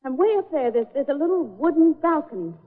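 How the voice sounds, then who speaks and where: An actress playing an anxious, devoted detective's companion with a husky voice in old radio drama style. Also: female voice, sits high, very animated, fast. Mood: uneasy. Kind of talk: 1940s radio drama acting. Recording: tinny, reverberant old radio recording.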